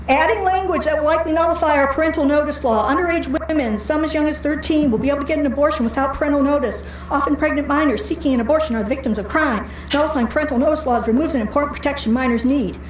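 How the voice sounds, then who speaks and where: High-pitched American woman, pointedly sardonic. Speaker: public commenter at a county board meeting. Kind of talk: prepared speech read aloud at a public meeting. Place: indoor county board meeting room. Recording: echoey meeting room with faint background noise.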